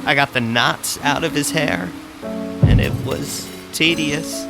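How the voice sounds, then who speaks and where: An actor giving a slow, deadpan inner monologue as a fussy island mystic recalling the past. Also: male voice, fairly high pitch, gravelly, heavily acted. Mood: reminiscing. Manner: slow, deadpan, a little disgusted. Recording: loud music under the voice.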